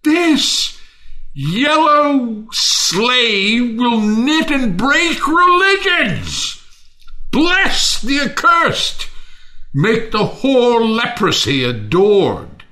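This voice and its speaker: A man's voice: very high voice, very animated, bright, forceful tone, full character voice. An actor playing a bitter man who hates mankind in a Shakespearean audio drama, declaiming scornfully. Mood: manic, scornful. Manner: declamatory, scornful, drawn-out phrasing with sudden swoops.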